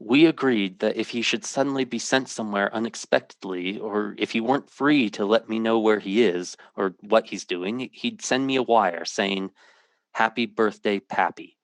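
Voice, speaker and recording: A man's voice: lowish voice, normal speed, dry recording, natural voice. An actor playing a worried, impatient American man who searches for his missing brother and narrates it in radio drama style, earnest and clipped. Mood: anxious, grave. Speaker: lead actor and first-person narrator.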